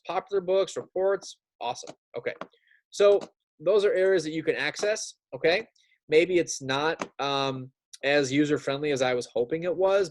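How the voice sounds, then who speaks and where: A man teaching young students in an informal read-aloud style, chatty and often checking in with them. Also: on the high side. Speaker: elementary school teacher. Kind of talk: read-aloud lesson with casual asides.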